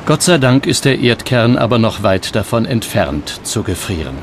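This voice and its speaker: A man reading scripted documentary narration in a measured, explanatory manner. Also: on the low side, very animated, background noise. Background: background music.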